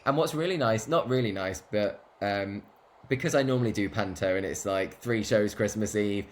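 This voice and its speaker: A man's voice: lowish voice, normal speed. A man chatting casually as co-host, telling stories with frequent laughs. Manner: chatty storyteller, laughs often. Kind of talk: casual chat.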